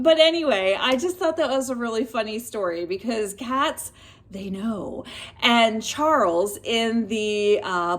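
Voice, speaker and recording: A woman talking casually and expressively about books and telling personal stories, often pausing mid-thought as a vlogger does. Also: mid-range voice. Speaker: book reviewer vlogger. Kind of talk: casual chatty book review and personal storytelling.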